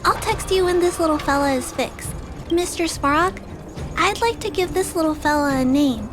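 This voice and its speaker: A woman voicing a gentle, caring young girl in a video game, polite and earnest. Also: high-pitched, moderate pace, natural voice. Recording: game music behind the voice.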